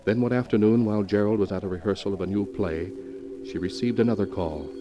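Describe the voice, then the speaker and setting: An actor playing the grave narrator of a 1940s radio mystery, reading in a dramatic, portentous way. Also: male voice, fairly low pitch, fast talker, lo-fi sound, background noise, natural voice. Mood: ominous. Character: grave male narrator of mystery and terror tales. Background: music.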